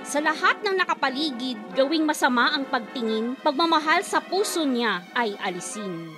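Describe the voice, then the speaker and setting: A woman's voice: high-pitched, animated, normal speed, over music, pitched above the performer's own voice. A woman voicing a wicked, haughty snow queen who commands and menaces in a children's fairy tale. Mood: commanding, spellcasting.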